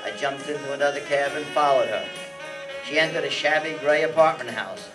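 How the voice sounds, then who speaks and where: A man's voice: fairly high pitch, brisk, close to an everyday voice. Wry private detective, played by a man, distant mic. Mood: narrating drily. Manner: deadpan, wry. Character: wry, flirtatious hard-boiled male private detective. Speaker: amateur radio play performer. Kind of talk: old-time radio detective narration and dialogue. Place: meeting room stage before seated audience. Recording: distant room microphone, music behind.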